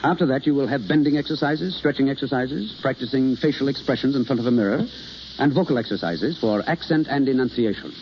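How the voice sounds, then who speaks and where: An actor playing a suave, exacting drama teacher in a 1930s radio play, speaking in a crisp, instructive, theatrical way. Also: male voice, mid-range voice, quick pace, natural voice. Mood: brisk instruction. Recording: muffled, hissy 1930s radio broadcast recording.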